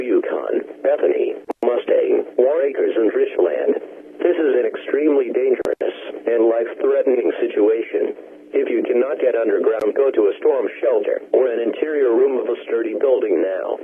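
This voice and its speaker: A man delivers a formal emergency weather warning in a measured, automated-sounding manner. His mid-pitched voice comes through a thin, tinny radio band, impersonal throughout. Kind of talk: formal emergency alert bulletin.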